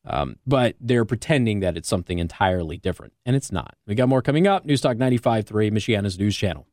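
A man's voice: on the low side, animated, quick pace, a little mellow. An American man hosting talk radio, giving opinionated, emphatic commentary in a conversational, argumentative way, often checking in with the listener. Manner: emphatic, argumentative, rhetorical check-ins like "okay?". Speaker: radio talk show host. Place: radio studio.

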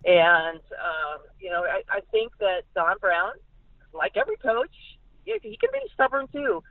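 A woman speaking as a sportswriter guest over a phone line, analysing football casually and haltingly, often repeating words. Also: mid-range voice, big pitch swings, no room sound. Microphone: phone call. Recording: narrow, tinny phone line.

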